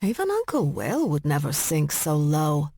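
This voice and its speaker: Woman acting a menacing Scottish woman, husky. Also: low-pitched, huge pitch swings, normal speed, mellow, no echo, clean studio sound, exaggerated voice. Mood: taunting contempt. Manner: taunting, threatening, gloating. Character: menacing Scottish woman, a gloating kidnapper tormenting her uncle.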